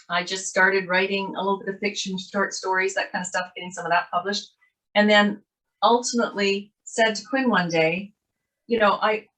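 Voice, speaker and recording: An older woman from Canada talks through her writing life as a guest on an interview show. She rambles pleasantly, doubling back with asides as she goes. Her voice sits at an ordinary middle pitch, heard over a video call. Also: fairly bright tone, poor audio. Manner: chatty, rambling storyteller with asides. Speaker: guest author. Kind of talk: casual interview chat.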